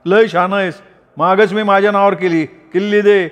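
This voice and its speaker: Man voicing indignant village brother, high strained voice, demanding. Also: steady tone, moderate pace, long reverb tail, close to an everyday voice. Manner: indignant, raised voice. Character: angry older brother, village bank clerk.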